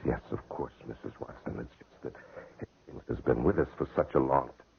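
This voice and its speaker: An actor playing a guilty, uneasy wealthy widower in an old-time radio drama, hesitant, defensive and quick to indignation. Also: male voice, rumbling low, creaky. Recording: noisy, narrow-band old radio recording.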